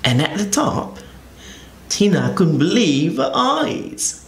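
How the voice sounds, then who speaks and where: Animated slow man reading a picture book. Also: high voice, gravelly. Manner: expressive, very animated storybook reading. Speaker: children's storyteller. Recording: echoey room with faint ambient noise.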